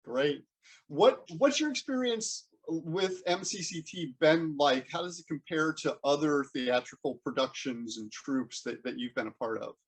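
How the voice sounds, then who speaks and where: An American man works as a casual interview host. His voice is a bit high for a man and has a rough, breathy edge. He phrases things in a rambling, halting, conversational way. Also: lively intonation, low-quality recording. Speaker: interviewer and host, community theater member.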